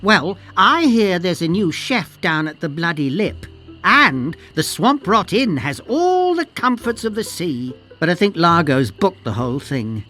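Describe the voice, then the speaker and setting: Cagey mapmaker woman in a pirate game, animated, chatty, bit of music behind. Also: lowish voice, unhurried. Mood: helpful. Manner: chatty, conspiratorial storytelling. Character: cagey, knowing woman cartographer on a pirate island. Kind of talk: video game dialogue acting.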